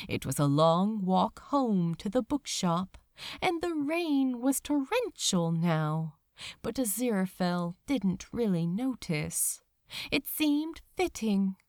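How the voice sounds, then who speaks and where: A woman reading a story aloud as dramatic, measured, sorrowful narration. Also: a little high, no room sound, clean studio sound. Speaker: fan fiction reader. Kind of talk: expressive scripted narration of a story.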